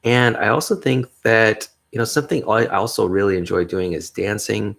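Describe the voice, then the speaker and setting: Man, podcast host, very low mellow bass, gravelly fry, upbeat, rambling, chuckles. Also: steady tone, normal speed. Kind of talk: casual solo podcast monologue.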